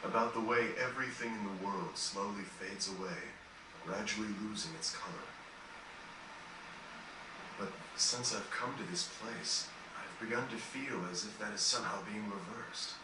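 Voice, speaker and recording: Man playing a low-voiced melancholy dying composer, echoey. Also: natural voice. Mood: gentle, pensive. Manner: reflective, measured, earnest, formal phrasing. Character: gentle, melancholy dying male composer, introspective and philosophical. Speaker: voice actor in a fantasy game. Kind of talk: dramatic game cutscene dialogue. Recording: distant, echoey, noisy game capture.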